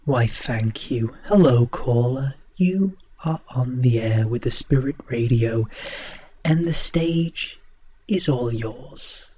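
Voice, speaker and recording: A man's voice: mid-range voice, animated, slow, dark tone, a little hiss or hum. A man playing a sinister, courtly host of a ghostly horror radio show, speaking in a grandiose, formally polite way. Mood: courteous, inviting. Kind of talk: theatrical horror radio acting.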